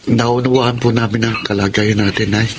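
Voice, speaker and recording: Actor as a young Filipino son, noisy recording. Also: male voice, lowish voice, little pitch movement, normal speed, lightly acted. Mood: respectful, hesitant. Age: young adult. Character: respectful, hopeful college-age son and big brother. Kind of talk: Tagalog radio drama acting. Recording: noisy, hissy radio recording.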